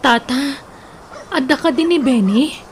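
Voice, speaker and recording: A determined young Ilocano daughter acted by a woman, high-pitched and earnest. She speaks insistently in a radio drama, with clear noise on the recording. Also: unhurried. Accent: Filipino, Ilocano. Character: determined young daughter eager to marry her boyfriend.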